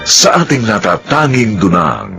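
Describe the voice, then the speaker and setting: A man announcing a radio drama as host, formally and dramatically, with measured delivery. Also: fairly low pitch, wildly swooping pitch, creaky, bright, forceful tone, faint music behind.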